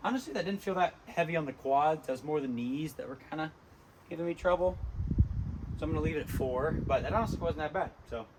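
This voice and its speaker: A tired, hoarse young man talking casually between lifts in a gym, rambling with many ums. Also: on the high side, expressive, fast talker, background noise. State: hoarse, lost voice from illness. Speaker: fitness vlogger. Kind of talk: casual gym vlog talk.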